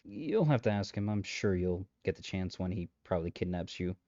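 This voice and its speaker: A lowish, mellow male voice acted by a man plays a chatty, exasperated matchmaker in a comedic audio drama. He speaks directly to the listener in wry, conversational asides full of dry understatement. The recording is close but slightly dull. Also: animated, moderate pace, no echo. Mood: wry, amused. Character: exasperated, chatty male matchmaker peddling possessive lovestruck suitors.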